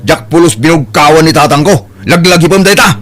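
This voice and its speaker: Actor as young husband, higher-pitched, lively swings, Ilocano accent, stern, exasperated, audio drama. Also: male voice, fairly quick. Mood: angry.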